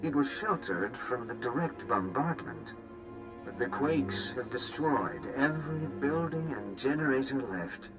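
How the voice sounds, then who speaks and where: An actor playing a solemn leader of outcasts, recording a grave, measured journal in a video game. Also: male voice, mid-range voice, animated, over music, lightly acted, low-quality recording.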